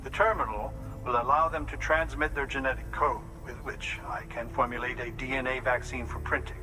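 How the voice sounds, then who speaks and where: A careful, clinical doctor, voiced by a man, explaining treatment step by step in a game cutscene. His voice sits at an average pitch. Score music plays clearly underneath. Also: fairly even intonation, lightly acted, rough recording. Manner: measured, explanatory, slightly fussy. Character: calm clinical male doctor, precise, reassuring. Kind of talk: video game cutscene acting.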